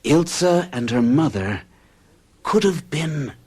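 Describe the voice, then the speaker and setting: A man's voice: fairly high pitch, lively intonation, slow, dark tone, husky, full character voice. An actor playing an anxious, troubled man haunted by strange dreams, speaking insistently in a radio drama. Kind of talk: 1970s radio drama acting.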